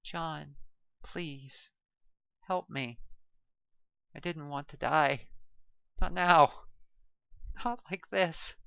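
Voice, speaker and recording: Woman doing a guy lieutenant losing it, screaming high and loud, kinda dull lo-fi sound. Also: drawn-out words, vocal fry, long pauses, clean tone, dry recording, heavily acted. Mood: pleading. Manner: shouting and begging frantically. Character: desperate young male lieutenant, pleading.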